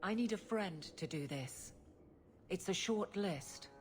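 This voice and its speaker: A woman's voice: fairly low pitch, animated, takes it slowly, a little hiss or hum. A woman playing a brusque, guarded captain of the city guard in a video game, with formal, clipped speech. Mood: stern, reluctant. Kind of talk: video game dialogue acting.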